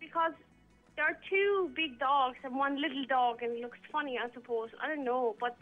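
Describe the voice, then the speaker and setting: A woman's voice: sits high, moderate pace, faint music behind. A woman from Iran who grew up in Vienna, calling in to a radio phone show and talking frankly with lots of fillers. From Iran, raised in Vienna, Austria. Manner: chatty, frank, uses fillers. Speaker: caller. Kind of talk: phone-in call. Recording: tinny phone line.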